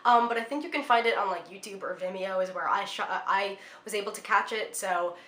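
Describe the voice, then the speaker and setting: A woman in her twenties talks casually about films she loves, her speech full of "like" and "um". She sounds a little congested from a cold, with a bright, forward tone. The room around her is echoey. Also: medium pitch, brisk. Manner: chatty, lots of "like" and "um" fillers. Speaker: film vlogger. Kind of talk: casual film recommendations to camera.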